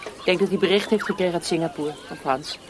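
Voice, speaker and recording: A woman's voice: on the low side, quick pace, noisy background, lightly acted. An actress playing a wry, defiant woman prisoner in a wartime camp, speaking dryly and colloquially in radio drama dialogue. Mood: resigned, dry. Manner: dry, colloquial, sardonic.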